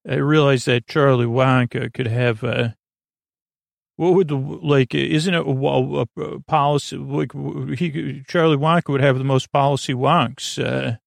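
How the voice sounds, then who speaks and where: A man with a creaky voice hosting a bedtime podcast in a soothing, halting, meandering monologue that keeps drifting into tangents. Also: medium pitch, fairly even intonation, no room sound, studio quality. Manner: meandering, halting, digressive, absent-minded. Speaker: podcast host.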